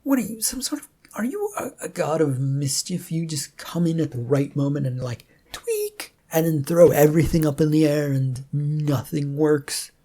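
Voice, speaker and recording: Storybook reluctant prophet read by a narrator: awkward, hesitant man, husky and creaky. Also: mid-range voice, lively intonation, normal speed, few pauses, warm tone. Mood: exasperated. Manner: hesitant, stammering. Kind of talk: amateur story reading in character.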